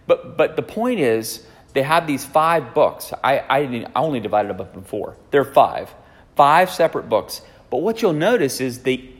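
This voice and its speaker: Man in his forties, pastor teaching in a church, chatty, hall reverb. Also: mid-range voice. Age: 40s. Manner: conversational, checks in with listeners. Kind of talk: teaching sermon. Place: church sanctuary.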